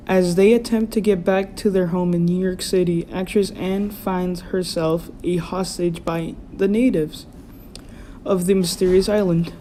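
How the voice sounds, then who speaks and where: A woman with a dark, slightly low voice presents scripted news as the anchor. There is faint noise in the recording. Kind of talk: scripted mock radio newscast.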